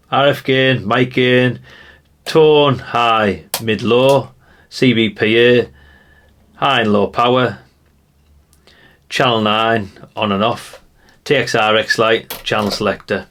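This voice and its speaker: Low-pitched middle-aged British man, chatting casually. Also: fairly bright tone. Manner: rambling, chatty, addresses viewers informally. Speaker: vlogger showing vintage CB radios and catalogues. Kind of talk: casual unscripted show-and-tell chat.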